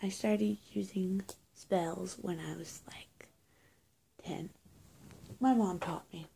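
A young adult woman doing a soft-spoken, improvised roleplay as a friendly good witch of high-school age, talking casually and haltingly to the viewer and often dropping to a near-whisper. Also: steady tone, gravelly, a little hiss or hum, low-quality recording. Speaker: roleplay video creator. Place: small indoor room, plain walls. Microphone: phone or webcam at arm's length.

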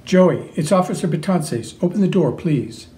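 A man's voice: high-pitched, lively intonation, moderate pace, warm tone, far from the mic. A man voicing a firm, concerned female police officer who questions a child.

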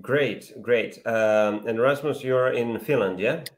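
A man's voice: mid-range voice, takes it slowly. A man in his thirties hosting a video call interview, asking friendly questions with fillers. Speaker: host. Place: home room, indoors. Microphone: laptop webcam on video call.

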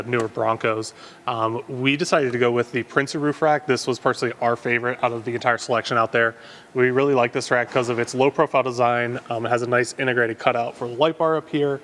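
A young man casually walking through a vehicle install in a large garage workshop, relaxed and filling pauses with ums and uhs. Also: medium pitch, a little hiss or hum. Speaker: off-road shop presenter. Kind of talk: casual product walkthrough.

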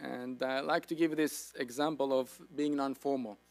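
A man's voice: medium pitch, steady tone, moderate pace, creaky, soft background music. Israeli man telling a story. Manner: informal storytelling, self-deprecating humor. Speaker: keynote speaker. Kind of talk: business lecture with anecdotes.

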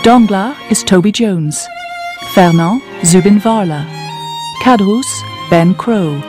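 A woman announcer reads the cast credits at a measured, formal pace. Violin music plays clearly beneath her voice. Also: medium pitch.

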